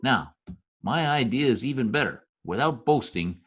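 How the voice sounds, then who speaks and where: A man's voice: medium pitch, lively intonation, moderate pace, a bit bright, husky. A man playing a deferential, persuasive political adviser who schemes for a dictator in an old-time radio comedy. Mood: scheming, persuasive. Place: home room, recorded over video call.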